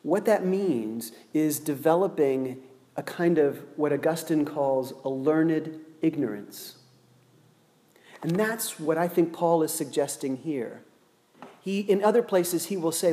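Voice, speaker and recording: Slow, deliberate preacher in a reverberant hall. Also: male voice, on the high side, animated, warm tone. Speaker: pastor. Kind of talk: sermon.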